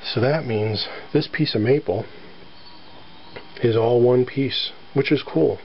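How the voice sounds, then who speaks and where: A man with a scratchy voice from allergies, casually showing off his guitar with lots of fillers and throat clearing. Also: medium pitch, slow. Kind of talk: casual show-and-tell. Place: near a sunny front porch. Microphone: handheld camera filming close-up. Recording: dull home-video sound with faint background noise.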